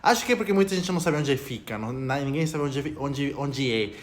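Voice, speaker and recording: A man's voice: mid-range voice, expressive, fairly quick. A young man from Ecuador with a Spanish accent in Portuguese, a vlogger talking to camera in an opinionated, rambling way. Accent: Spanish-speaking Ecuadorian accent in Portuguese. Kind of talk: casual talk to camera.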